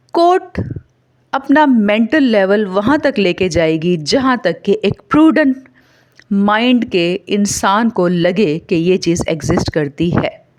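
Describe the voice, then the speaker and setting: A woman with a Pakistani accent giving an explanatory lecture in a didactic way, mixing Urdu and English and slowing down to read aloud. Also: a little high. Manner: didactic, code-switches between Urdu and English. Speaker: law lecturer. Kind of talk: explanatory exam lecture, alternating slow read-aloud with commentary.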